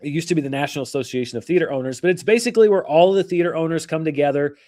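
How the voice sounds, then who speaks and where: A hoarse man hosting a casual live-stream about movie news, rambling and correcting himself as he goes. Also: fairly high pitch, very fast, a little mellow. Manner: rambling, self-correcting, chatty. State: hoarse from allergies.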